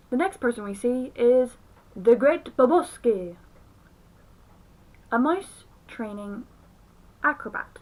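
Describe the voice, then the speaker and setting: A woman's voice: a little high, low-quality recording. A woman reviewing a film in scripted commentary. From outside the United States.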